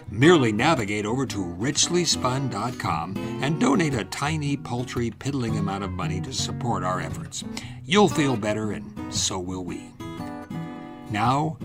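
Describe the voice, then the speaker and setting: A man's voice: lowish voice, lively intonation, a little slow, music in the background. A man hosting and narrating a scripted drama podcast in a theatrical, playful, tongue-in-cheek way.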